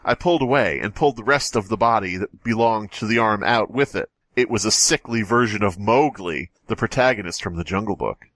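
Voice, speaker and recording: A man's voice: on the low side, brisk, hardly any pauses, fairly bright tone, dry recording. A man hosting a podcast, reading a horror story aloud and breaking off with joking asides. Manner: jokey, breaks off to comment. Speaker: podcast host.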